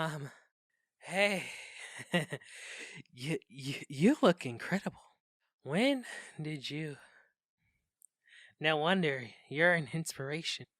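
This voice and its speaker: A woman's voice: deep voice, fairly bright tone, no echo, studio quality, full character voice. A woman playing a flustered, awkward young podcaster in a modern audio drama, nervous and stammering, easily smitten. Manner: stammering, nervous, breaking off mid-phrase.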